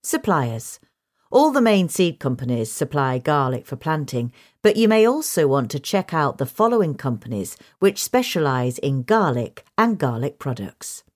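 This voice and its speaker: A woman's voice: sits low, big pitch swings, moderate pace. A woman reading a gardening article aloud as scripted narration. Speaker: article reader.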